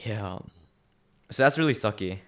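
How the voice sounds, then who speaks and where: Low, smooth-voiced young man musing. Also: expressive, normal speed. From Australia. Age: young adult. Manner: rambling, filler-heavy, earnest and reflective. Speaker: podcast co-host. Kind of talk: casual chat.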